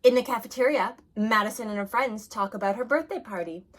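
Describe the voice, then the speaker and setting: A woman reading a children's picture book aloud, expressive, going soft and hushed at the sad moments. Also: fairly high pitch, brisk, slight background noise. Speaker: reader.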